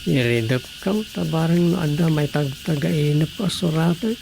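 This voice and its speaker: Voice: mid-range voice, moderate pace, gravelly, over music, natural voice, poor audio. An actor playing a pensive writer stuck for ideas in a radio drama, with faint noise behind. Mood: pensive, frustrated.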